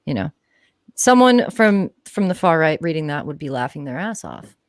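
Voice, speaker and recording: Blunt low-voiced woman, dry close sound. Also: very animated, moderate pace, no echo. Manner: blunt, sardonic, sweary. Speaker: guest co-commentator on a live stream. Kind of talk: casual chat commentary.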